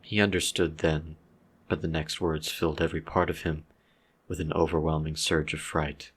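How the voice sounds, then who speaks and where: A man's voice: very deep voice, flat delivery, normal speed, fairly bright tone, husky. A man narrating a dramatic horror story with a grave, solemn delivery. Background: original soundtrack music.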